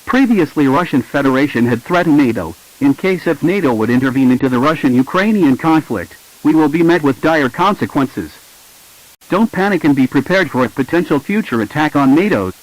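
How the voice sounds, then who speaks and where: Higher-pitched man reading formal emergency alerts. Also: lively intonation, a little fast, low-quality recording. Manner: formal, impersonal delivery. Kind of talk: scripted emergency broadcast announcement.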